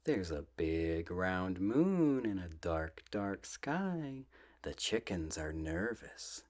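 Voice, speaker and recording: Man, soft and whispery, unhurried, lilting wide-ranging intonation, gentle ASMR bedtime reading. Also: deep voice, sing-song. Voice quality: soft, often dropping to a whisper. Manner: gentle, drawn-out, playful storybook delivery. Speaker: ASMR channel host reading children's books aloud.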